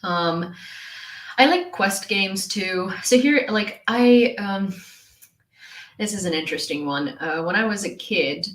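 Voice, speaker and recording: A woman's voice: medium pitch, takes it slowly, reverberant. A young woman from Ukraine chatting casually on a live stream and reading viewers' messages, giggling often and trailing off into fillers and restarts.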